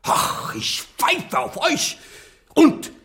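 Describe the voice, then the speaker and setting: An actor in a radio drama playing a proud, scornful, hot-tempered Spanish playwright in a haughty, theatrical rant. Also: male voice, extremely high pitch, very animated, very slow, gravelly, a bit bright, heavily acted. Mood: outraged. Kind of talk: German radio drama acting.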